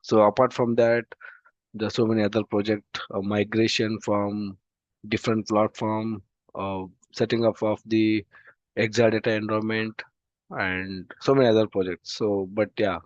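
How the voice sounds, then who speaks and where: A man with an Indian English accent teaching an online database class, talking slowly and haltingly with lots of fillers because of a throat infection, over a video call. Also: fairly low pitch, flat delivery, darkish tone, no room sound. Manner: slow, halting, many "uh" fillers. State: throat infection, voice not clear. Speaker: database course instructor. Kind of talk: online demo class lecture. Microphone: computer mic on a video call. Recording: dull, dry video-call audio.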